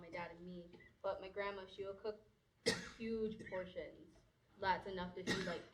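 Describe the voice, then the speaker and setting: A young woman from the audience sharing family stories, chatty and full of fillers. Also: lowish voice. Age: young adult. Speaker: audience member. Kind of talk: casual personal sharing. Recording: distant mic, faint noise.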